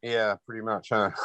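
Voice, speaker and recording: A man's voice: lowish voice, fairly bright tone. A laid-back man from London chatting casually as an interview guest. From London, United Kingdom. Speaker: interview guest, rock bassist. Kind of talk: casual chat.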